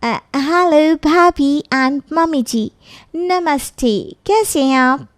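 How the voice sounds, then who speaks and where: A woman's voice: sits high, drawn-out words, no room sound, clean studio sound. A woman voicing a cheerful young daughter-in-law in a comic cartoon, speaking hesitantly and mixing in English words. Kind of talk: Hindi comic cartoon acting.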